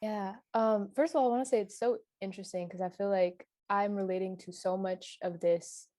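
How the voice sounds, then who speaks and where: A woman's voice: medium pitch, fairly even intonation, moderate pace, dark tone, no room sound, studio quality. A young woman talking in a casual panel discussion, telling personal stories animatedly and laughing as she recounts them. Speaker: panel guest.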